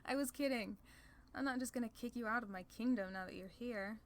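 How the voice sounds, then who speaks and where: A woman's voice: a little high, a little fast, natural voice. A woman playing a haughty, sly female demon lord in an ASMR roleplay, condescending and playfully theatrical. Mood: teasing amusement.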